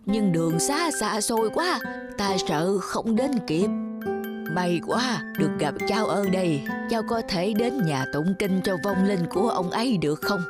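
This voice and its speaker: A woman's voice: medium pitch, expressive, normal speed, close to an everyday voice. Woman voicing a scheming old demon crone. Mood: coaxing. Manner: coaxing, scheming. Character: old demon woman, wheedling then menacing. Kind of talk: narrated cartoon fairy tale. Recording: music behind the voice.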